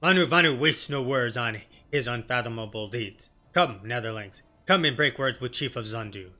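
A man's voice: mid-range voice, lively intonation, moderate pace, bright, forceful tone, faint music behind. A man voicing a proud, blustery beastman tribesman in pompous, archaic broken phrasing for a fantasy game. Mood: proud, solemn.

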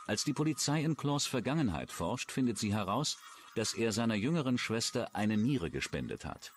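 A man's voice: medium pitch, big pitch swings, moderate pace. A man with a standard German accent reading scripted true-crime documentary narration.

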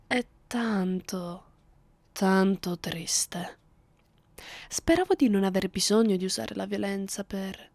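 A woman voicing a cruel, bored, centuries-old female vampire in a close ASMR roleplay, condescending and deliberate, often slipping into whispers. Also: medium pitch, a little mellow, no room sound. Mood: mock sorrow, threatening. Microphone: close microphone, dry sound.

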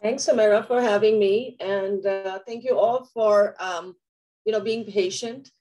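A woman guest speaks over a webcam on a video call while still getting over a nasty cough. She lays out her points in a deliberate, explanatory way at a middling pitch. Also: steady tone, mellow, rough recording. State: recovering from a cough, under the weather. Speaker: guest, tech community co-founder.

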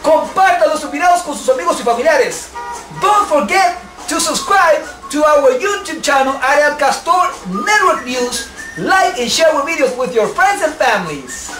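A man's voice: very high voice, lively intonation, a little slow. A man in his thirties hosting a homemade cooking show in a small kitchen, with showman patter and switching between two languages. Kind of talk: bilingual cooking vlog. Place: small home kitchen, indoors. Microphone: camera mic, several feet away. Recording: echoey kitchen with faint music.

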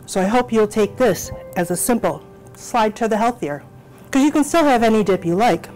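A middle-aged woman hosting a cooking show from a small home kitchen, explaining each step in an encouraging, unhurried way. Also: fairly high pitch, animated, darkish tone, faint music behind, rough recording.

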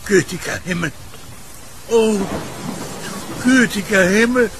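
An actor playing an urgent, distraught gentleman in a German-style horror audio drama. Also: high voice, slow pace, background noise. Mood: shaken. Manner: urgent, exclamatory. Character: agitated, distraught gentleman searching desperately in the night.

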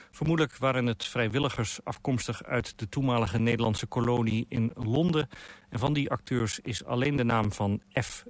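A man's voice: mid-range voice, no room sound. A man presenting an archive radio play in a scripted introduction.

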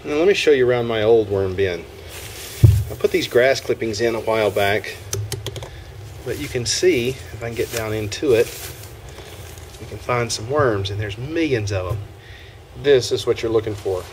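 Man, low voice, folksy how-to talk, outdoors, camera mic, faint yard ambience. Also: normal speed, pauses often, noisy background. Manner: folksy, talks through each step while working. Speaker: homesteading video host. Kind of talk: casual hands-on demonstration.

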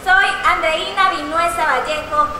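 A woman's voice: very high voice, steady tone, unhurried, a little hiss or hum. An eighteen-year-old woman proudly introducing herself as a pageant contestant at a stand microphone on a night stage. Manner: proud, rehearsed delivery. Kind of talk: formal self-introduction on stage. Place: large outdoor stage at night. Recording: reverberant hall over loudspeakers, faint music.

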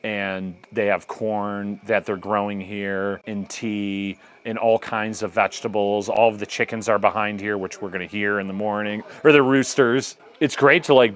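A man's voice: lowish voice, a bit bright. An American man in his thirties talking casually in a sit-down interview as a hiking guide. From United States. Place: open wooden balcony among green hills. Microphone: clip-on lapel mic. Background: music.

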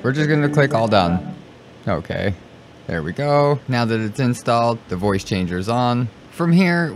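A man talking through software steps in a casual, informal tutorial style, repeating himself as he narrates each click. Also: mid-range voice. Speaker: streamer giving a software tutorial. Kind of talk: casual step-by-step screen tutorial. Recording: clearly noisy home recording.

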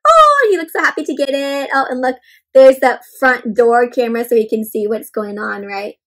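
Young female children's read-aloud host. High-pitched, bright, animated and full of questions for young listeners. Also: normal speed. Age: young adult. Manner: animated, exclaiming, addressing children as friends. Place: indoor room with bookshelves.

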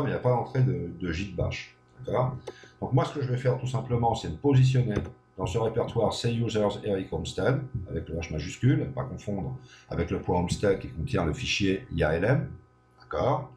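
A man's voice: lowish voice, dark tone. A man giving an unscripted screencast lesson, thinking aloud with many hesitations and frequent checks that the listener is following. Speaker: programming tutor. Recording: echoey room with faint hum.

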